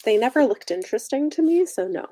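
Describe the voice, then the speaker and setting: A young woman in casual book chat on a livestream, informal and halting as she thinks aloud. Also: a little high, animated, warm tone, dry recording, studio quality. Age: young adult. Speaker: book discussion co-host. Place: cosy room with bookshelves at home, indoors. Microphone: desk microphone on a video call.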